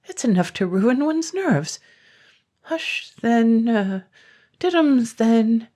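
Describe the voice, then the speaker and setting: A woman voicing a tired, fretful male dragon who is polite and put-upon, with a husky voice. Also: on the high side, big pitch swings, slow, mellow, voice pushed up, heavily acted. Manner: plaintive, fretful.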